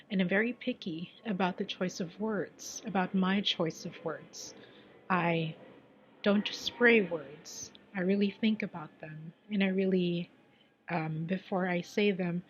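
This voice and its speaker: A woman's voice: lowish voice, muffled recording. A Filipino woman, native in Tagalog, talking casually as a tarot reader giving advice, repeating phrases for emphasis and often dropping to a near whisper. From Philippines.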